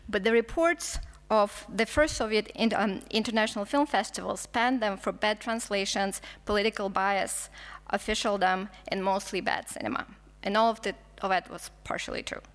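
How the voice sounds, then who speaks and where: A woman's voice: medium pitch, normal speed, in a large hall. A woman with a Russian accent giving an academic conference talk, reading with frequent stumbles, restarts and fillers. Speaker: film historian lecturer.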